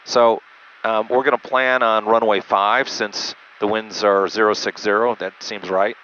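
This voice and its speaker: Male pilot, low even voice, patiently methodical and halting as he explains procedures, dull headset sound. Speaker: jet pilot. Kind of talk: unscripted instructional walkthrough. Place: small jet cockpit in flight. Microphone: aviation headset through intercom. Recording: muffled band-limited cockpit headset audio, engine noise.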